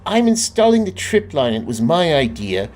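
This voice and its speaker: A man's voice: fairly high pitch, sing-song, moderate pace, darkish tone, faint music behind, pitched above the performer's own voice. A man voicing Jack, a clever, bold young boy who leads his friends in a children's storybook, sounding eager and a bit bossy.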